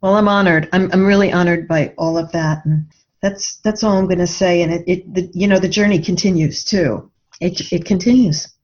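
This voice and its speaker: A woman's voice: fairly low pitch, fairly even intonation, normal speed, darkish tone. A woman speaking as a guest author in a conversational interview, in an earnest, reflective manner. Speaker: guest author promoting a book. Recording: dull, muffled lo-fi audio.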